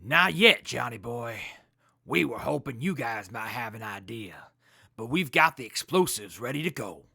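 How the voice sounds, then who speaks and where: A man plays a cool-cat wasteland demolition guy in a radio play, cocky and slangy. His voice is creaky and sharp-edged, with very lively swings of pitch. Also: moderate pace, no echo, studio quality, exaggerated voice. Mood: breezy. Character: laid-back hip wasteland explosives guy, cheerful and cocky. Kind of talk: post-apocalyptic audio drama acting.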